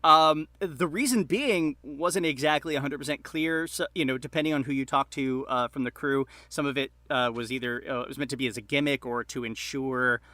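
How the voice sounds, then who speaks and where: A man telling a story as a podcast guest in a casual, halting, rambling way. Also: mid-range voice, sharp tone, no room sound. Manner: halting, rambling delivery full of hedges.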